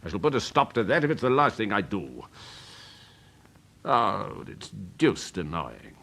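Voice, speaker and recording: An actor playing a pompous, snobbish older Victorian major with an upper-class English accent, fussy and blustering, in a radio drama. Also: male voice, medium pitch, a bit bright, exaggerated voice. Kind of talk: British radio drama acting.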